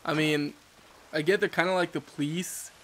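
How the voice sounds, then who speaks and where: A young man with a stuffy, congested voice chats casually over his gameplay, joking at his own mistakes. His voice sits a little high, with faint hiss and the game's rain behind him. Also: fairly even intonation, normal speed, a bit bright. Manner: rambling, jokey, self-mocking. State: congested from allergies. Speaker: let's play host.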